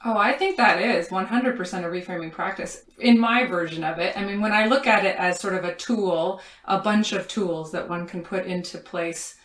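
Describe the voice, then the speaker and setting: A woman's voice: medium pitch, normal speed, hardly any pauses, fairly bright tone. A woman hosting a conversational podcast interview, speaking in a reflective and encouraging manner. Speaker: podcast host. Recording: echoey room sound.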